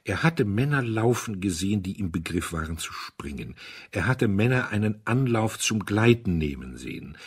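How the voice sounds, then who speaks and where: Male narrator for literary audio drama: lowish husky voice, suspenseful and measured delivery. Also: big pitch swings.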